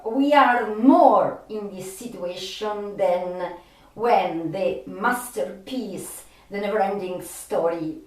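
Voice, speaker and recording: A woman hosting a video monologue, speaking haltingly and earnestly, with long filled pauses and repeated phrases. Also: mid-range voice, darkish tone. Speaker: video host. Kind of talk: rambling monologue to viewers. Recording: roomy, echoey, distant from the mic.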